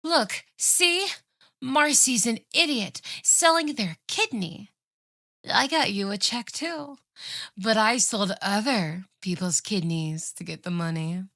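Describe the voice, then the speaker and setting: A woman's voice: mid-range voice, expressive, takes it slowly, sharp tone, no room sound, exaggerated voice. A woman playing a possessive, obsessive fox-spirit yandere in a scripted ASMR roleplay, with a sugary, boastful, taunting manner.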